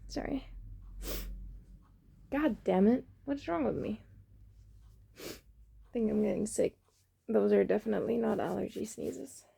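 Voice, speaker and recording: Husky sniffly woman between sneezes. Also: mid-range voice, a little slow, pauses often, warm tone. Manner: breathy, sighing, muttering between sneezes. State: sniffly, stuffed-up nose. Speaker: vlogger. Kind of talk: casual self-recorded vlog. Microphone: phone.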